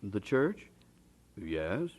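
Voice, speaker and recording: A hesitant, husky church official. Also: male voice, fairly low pitch, big pitch swings, a little mellow, heavily acted. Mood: uneasy, deferential. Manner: halting, hesitant. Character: Mister Eddington, deferential, hesitant church official. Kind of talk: radio drama acting.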